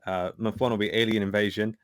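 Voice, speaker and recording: A man's voice: lowish voice, flat delivery, fast talker, clean tone, no echo, clean studio sound. A man speaking as a podcast guest, casually recounting his creative work in a self-deprecating way. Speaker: podcast guest, author and filmmaker.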